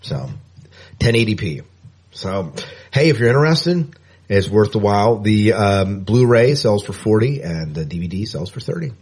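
A man's voice: on the low side, sing-song, moderate pace, a little mellow, breathy edge. A man co-hosting a sci-fi podcast, joking with his partner and running the segments. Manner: joking, teasing, runs the segments. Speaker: podcast co-host. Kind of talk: casual podcast chat.

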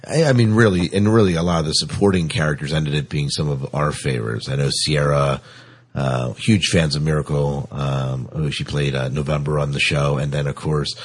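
A man's voice: a bass voice, steady tone, normal speed, husky. A man hosting a podcast in casual, conversational chat, putting questions to guests and sharing opinions. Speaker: podcast host.

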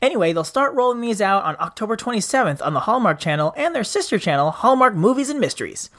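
A man's voice: sits high, very animated, fast talker, a bit bright. A man hosting a podcast, reading listener feedback with jokey, self-mocking asides. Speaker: podcast host. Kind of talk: scripted podcast segments.

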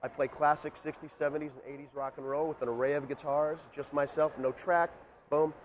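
A man's voice: on the high side, flat delivery, brisk, a little hiss or hum. A man from Los Angeles, a musician guest, chatting upbeat about his show in a casual interview. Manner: upbeat, friendly plugging.

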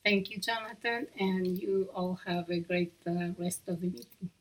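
A middle-aged woman from Argentina with an Argentine accent, giving a short prepared talk as a cardiologist, measured with frequent fillers. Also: on the low side, fairly even intonation, slight background noise, poor audio. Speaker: cardiologist guest speaker.

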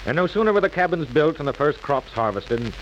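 A man's voice: on the high side, expressive, a little fast, lo-fi sound, lightly acted. A man narrating a 1940s educational radio program in a formal, declarative way. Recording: noisy vintage 1940s radio recording.

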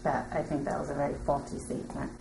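A woman speaking from the audience in a careful, halting, politely firm way. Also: deep voice, level tone, vocal fry, mellow. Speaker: audience member commenting. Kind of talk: spoken comment in a question session. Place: room with an audience. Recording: roomy, noisy audience pickup.

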